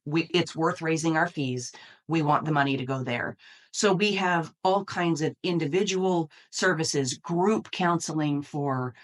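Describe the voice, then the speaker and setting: A middle-aged American woman giving earnest, explanatory answers as an interview guest. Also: sits low. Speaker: university dean, interview guest.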